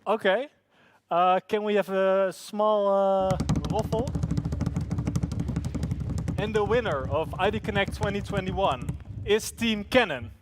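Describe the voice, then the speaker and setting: A man's voice: sits high, slow, pauses often, noisy background. A man hosting a live event, upbeat and hesitant, with many fillers. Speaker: event host.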